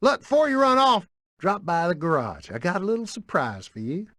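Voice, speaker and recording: A friendly Southern American mechanic, somewhat high in pitch with lively inflection. He sounds informal and easygoing in a dry, close recording. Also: a little fast, no room sound, heavily acted. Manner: neighborly, informal. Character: good-natured country mechanic, friendly and easygoing.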